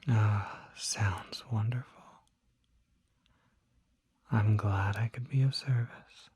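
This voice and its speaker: Performer as courteous demon physician, ASMR roleplay, breathy whisper, slow measured pace, formal diction. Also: medium pitch, steady tone, darkish tone. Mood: gentle, courteous. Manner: formal, unhurried, precise diction. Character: polite, soft-spoken demon doctor treating curses.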